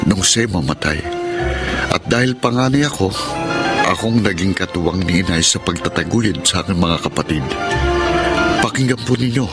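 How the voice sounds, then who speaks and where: A man's voice: deep voice, lively intonation, moderate pace, pauses often, over loud music. A man playing an earnest, polite young street vendor with a Filipino accent in a radio drama. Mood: sincere. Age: young adult. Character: earnest, hardworking young male egg vendor, eldest son. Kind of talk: Filipino radio drama acting with first-person narration.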